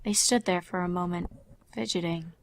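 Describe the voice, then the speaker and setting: A woman's voice: fairly low pitch, steady tone, normal speed, creaky, heavy background noise. A woman reading fan fiction aloud as a scripted story narrator.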